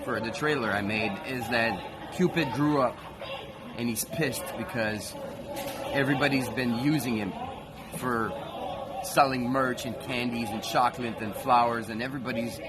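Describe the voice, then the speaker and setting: A man's voice: medium pitch, moderate pace. A man with a light Quebec French accent, chatting casually on a live stream, rambling and correcting himself. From French Canada. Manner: rambling, many restarts and self-corrections. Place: busy city street outdoors. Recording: loud street crowd and traffic.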